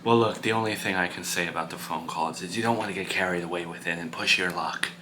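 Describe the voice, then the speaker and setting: A low, hushed older father played by a man, stern and world-weary. He admonishes in measured, reproachful phrases, keeping well under a normal speaking volume. A little noise sits behind the voice in this homemade drama skit. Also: fairly even intonation, natural voice. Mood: cautioning.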